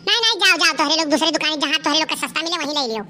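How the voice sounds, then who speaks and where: A woman's voice: sits high, rapid-fire, sharp tone. A woman voicing a stubborn, scolding village vegetable seller in an Awadhi cartoon comedy, with an Awadhi accent. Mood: angry, dismissive. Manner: scolding, argumentative.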